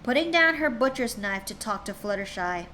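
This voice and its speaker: Woman streamer, reading a story aloud, chatty, stumbles on words, fairly high voice, faint hiss. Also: expressive, normal speed, pushed and bright.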